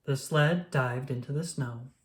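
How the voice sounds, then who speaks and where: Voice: mid-range voice, a little slow. A narrator reading a children's storybook aloud in a scripted storytelling style.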